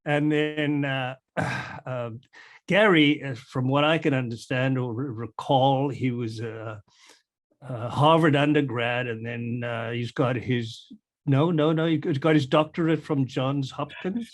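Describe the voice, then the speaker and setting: A man hosts a podcast in a mid-pitched, everyday voice. He introduces his guests loosely, with many uhs, sighs and restarts. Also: steady tone, takes it slowly. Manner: rambling, filler-heavy introductions. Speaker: podcast host. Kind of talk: casual interview hosting.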